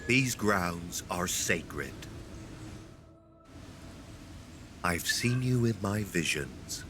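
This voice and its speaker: An actor playing a solemn mystical guardian of sacred grounds in a video game, speaking gravely and ceremoniously. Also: male voice, low voice, lively intonation, takes it slowly, music in the background. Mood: stern, testing. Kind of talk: dramatic video game acting.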